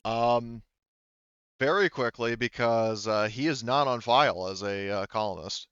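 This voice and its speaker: A man speaking casually as game master of a tabletop roleplaying session, explaining things in a halting way. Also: medium pitch, a bit bright, clean tone, no echo, highs cut off.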